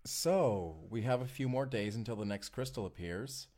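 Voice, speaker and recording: A man's voice: mid-range voice, normal speed, a little mellow, slight background noise, close to an everyday voice. A man playing an affectionate, teasing husband from the future in an intimate audio roleplay. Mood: casual, upbeat.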